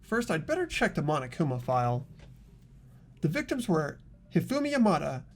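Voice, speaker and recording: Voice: mid-range voice, big pitch swings, moderate pace, a little mellow. A narrator reading scripted, dramatic visual novel text as the protagonist of a game playthrough.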